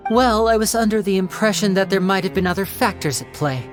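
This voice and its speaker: An actress playing a composed young female investigator in a video game, speaking in a measured, coolly questioning way. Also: medium pitch, soft background music, lightly acted. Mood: probing, dry.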